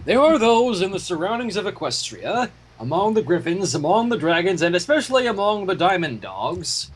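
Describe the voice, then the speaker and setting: A man narrates a story with theatrical flair. His voice is high for a man and rough-edged. He swings through lively intonation as he reads, deliberate and dramatic. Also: low-quality recording. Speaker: fan fiction reader.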